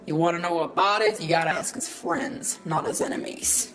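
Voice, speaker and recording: Woman doing a low-pitched, world-weary old robot veteran. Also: normal speed, fairly bright tone, natural voice. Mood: weary, resigned. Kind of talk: amateur comic dub dialogue. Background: faint music.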